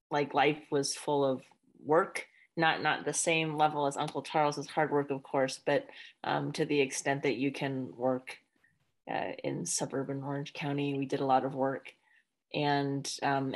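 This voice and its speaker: A young woman, an author, speaking casually on a video call from home, with many "like" fillers. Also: rumbling low. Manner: casual, filler-heavy with frequent "like". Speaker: guest author. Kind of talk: casual conversation. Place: home room, indoors.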